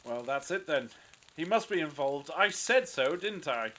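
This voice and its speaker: A man's voice: a little high, lively intonation, unhurried, pushed and bright. A man playing a bossy, suspicious, practical captive in a fan audio drama, with a sarcastic, domineering manner. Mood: commanding.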